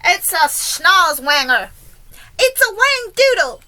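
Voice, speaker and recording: A woman voicing a panicky, melodramatic male fire chief who yells, in a storybook tale. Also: very high-pitched, very animated, measured pace, pitched above the performer's own voice, full character voice. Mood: panicked. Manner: yelling, melodramatic.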